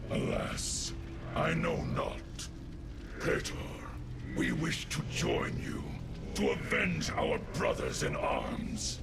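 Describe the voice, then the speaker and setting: An actor playing a loyal, earnest and anguished alien soldier in a video game, speaking formally and dramatically. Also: male voice, a little high, huge pitch swings, slow, gravelly, full character voice. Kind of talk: video game cutscene voice acting. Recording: loud music over the voice.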